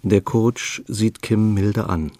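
A man's voice: lowish voice, slow pace, mellow, no room sound. A man reading scripted story narration for a German radio play.

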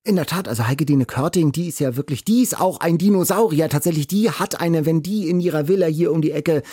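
A man's voice: fairly high pitch, a little fast, mellow, slightly rough, no echo, studio quality. A man hosting a podcast interview in a chatty, colloquial and enthusiastic way. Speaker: podcast host.

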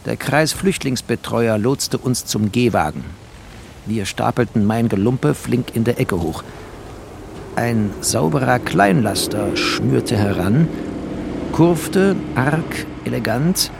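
A man's voice: mid-range voice, expressive, slow pace, gravelly, background noise. A man playing a bookish, wry war refugee who narrates a literary radio play in clipped, poetic phrases. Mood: wry, observant.

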